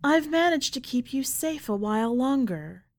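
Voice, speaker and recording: A woman narrator voicing a stern, possessive, protective mother in a dark literary story, in a firm, declarative way. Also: sits high, lively intonation, normal speed, voice pushed up. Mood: stern, resigned.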